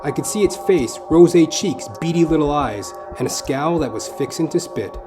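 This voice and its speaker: A man's voice: on the high side, lively intonation, moderate pace. A man delivering scripted comic narration for an audio play, with theatrical, mock-literary phrasing. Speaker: comedian and storyteller. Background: music.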